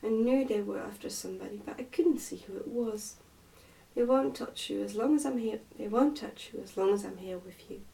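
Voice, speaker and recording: A woman's voice: medium pitch, fairly quick, creaky, warm tone, far from the mic, natural voice, low-quality recording. A woman voicing a cheerful, fearless twelve-year-old miner boy in a storybook, with a confident, sing-song manner.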